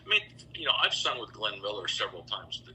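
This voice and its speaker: A man's voice: fairly low pitch, very animated, fast talker, pushed and bright, slight background noise, rough recording. A man answering interview questions conversationally as a singer guest, emphatic and repeating himself for stress.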